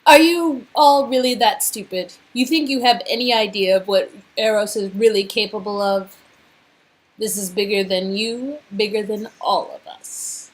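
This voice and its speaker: A woman's voice: medium pitch, normal speed, lightly acted, poor audio. A woman voicing a scornful, threatening female villain in a game read-along, with contemptuous delivery. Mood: scornful, smirking.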